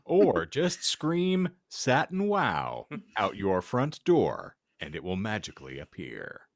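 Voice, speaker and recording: A man's voice: fairly low pitch, wildly swooping pitch, slow pace, no echo, clean studio sound. A man hosting a podcast, giving a comedic, mock-serious dramatic reading with banter. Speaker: podcast host.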